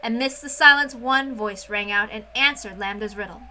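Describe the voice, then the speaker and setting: A woman narrating a visual novel as an amateur read-aloud, expressive and informal. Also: a little high, normal speed, a little hiss or hum. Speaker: narrator and reader.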